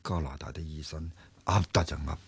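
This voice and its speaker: Fast-talking exasperated male patient, Hong Kong Cantonese. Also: rumbling low, fairly even intonation, gravelly, slightly rough, dry recording, exaggerated voice. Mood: impatient. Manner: blunt, argumentative. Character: impatient, exasperated male hospital patient insisting on his identity. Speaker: voice actor in radio drama. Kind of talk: radio drama acting.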